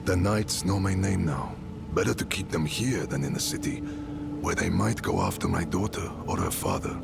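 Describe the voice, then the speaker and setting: A gravelly old-soldier mercenary smith. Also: male voice, sits low, expressive, normal speed, exaggerated voice. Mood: friendly. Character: seasoned middle-aged male mercenary leader and smith, wry. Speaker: game character. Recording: game soundtrack music behind. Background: game music.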